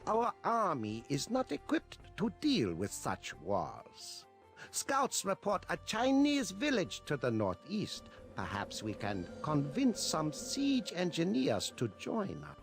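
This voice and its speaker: A man's voice: on the high side, huge pitch swings, slow pace, vocal fry, full character voice. A man playing a loyal Mongol soldier giving terse military reports to his Khan in a strategy game. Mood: dutiful. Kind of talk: dramatic game dialogue. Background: music.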